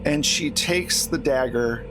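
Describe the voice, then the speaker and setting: A man's voice: mid-range voice, slow, noisy background. A man acting as game master, casually narrating a tabletop roleplaying game.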